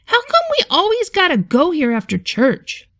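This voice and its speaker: A woman's voice: very high-pitched, wildly swooping pitch, moderate pace, a bit bright, no room sound, studio quality, voice pushed up, exaggerated voice. A woman voicing a wistful, grumbly young boy in a storybook, asking informal, complaining questions.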